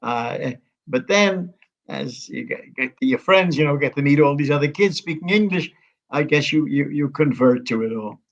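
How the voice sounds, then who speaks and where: Older man in his nineties, interview guest, rambling, halting restarts, slightly high voice, muffled sound. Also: lively intonation. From United States. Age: 90s. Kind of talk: conversational reminiscing.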